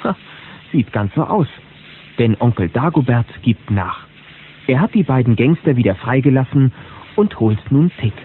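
A man as a chatty, excited narrator of a children's audio drama. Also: medium pitch, normal speed, natural voice. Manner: animated, chatty storyteller. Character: chatty, excited male storyteller. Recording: muffled, faintly noisy old cassette.

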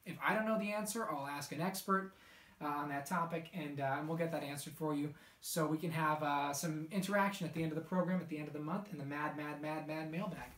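A man's voice: on the high side, fast talker, fairly bright tone. A young man presenting show announcements to camera as the host. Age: young adult. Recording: echoey indoor room.

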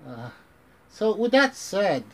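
A man's voice: high voice, big pitch swings, slow pace. A man in his thirties with an American accent, talking casually into a phone camera in a small bedroom, rambling and restarting, mixing in Tagalog phrases. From Philippines, raised in the United States. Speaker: vlogger. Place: bedroom, small indoor room. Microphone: phone camera at arm's length.

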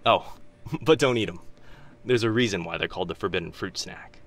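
A young man playing a teasing, kind-hearted telepath in a boyfriend ASMR roleplay, with hesitant, chatty talk and soft asides. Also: low voice, brisk. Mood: friendly, awkward. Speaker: voice actor in audio roleplay.

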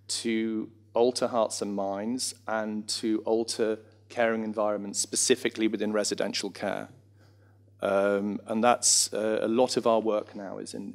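Male, middle-aged. Lowish voice, reflective and discursive, informal panel delivery with a self-deprecating streak. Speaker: panellist, reminiscence arts practitioner and former actor. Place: small theatre stage in front of an audience. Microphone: handheld microphone.

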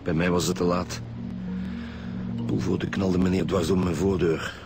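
Deep, gravelly Flemish police inspector. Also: male voice, level tone. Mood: weary. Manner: measured, plain questioning. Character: steady, probing police chief inspector. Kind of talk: radio drama acting. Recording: music behind the voice.

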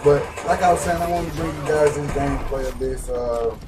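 A hoarse young man giving rambling, slangy, casual commentary over his gameplay, full of fillers and restarts. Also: on the high side, brisk. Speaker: gaming YouTuber. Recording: loud background noise.

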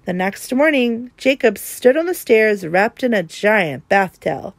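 A woman reading a children's picture book aloud in an expressive narrating style. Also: mid-range voice, unhurried, gravelly, no room sound. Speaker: storybook reader.

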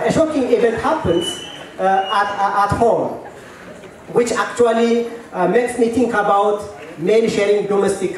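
A middle-aged Ethiopian man telling a personal story to an audience on a handheld mic, halting, with many fillers and repeated words. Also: very high-pitched. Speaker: storyteller at a work meeting. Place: large tent at an event. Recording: echoey tent, mic at a distance.

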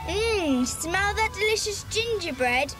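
An actress playing an eager, excitable schoolchild who exclaims a lot in a children's audio drama. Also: female voice, extremely high pitch, slow, music in the background, exaggerated voice. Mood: excited. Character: eager, excitable English schoolchild, one of a club.

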